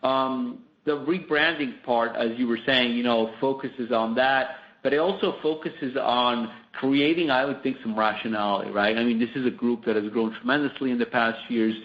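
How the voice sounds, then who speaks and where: A man's voice: mid-range voice, fairly even intonation, normal speed, few pauses. A man speaking as a company executive, answering analysts off the cuff in a hesitant, wordy way full of asides. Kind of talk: spontaneous business explanation. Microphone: conference call line. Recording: slightly muffled conference call audio.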